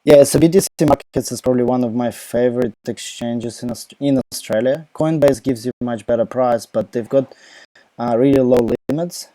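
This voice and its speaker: A man's voice: mid-range voice, normal speed, warm tone, no room sound, poor audio. A young man with a Ukrainian accent hosting a casual live Q&A from home on a laptop mic, rambling with lots of fillers and restarts. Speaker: crypto live Q&A host.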